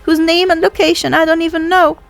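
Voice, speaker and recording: High-pitched earnest little girl voiced by a woman. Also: level tone, fairly quick, vocal fry, soft background music. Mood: despairing. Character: young sea girl, earnest, tearful and fearful. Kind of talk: storybook character voice.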